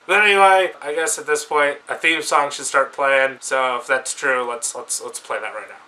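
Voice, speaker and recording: A man's voice: mid-range voice, steady tone, moderate pace, lightly acted. A man voicing a brash, rambling, irreverent comic superhero with a scratchy, strained voice, hosting a comedic web show. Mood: breezy. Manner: cocky, rambling, irreverent, swears freely. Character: brash, crude, rambling comic superhero Captain Crazy, male. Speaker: stand-in host of a comics show.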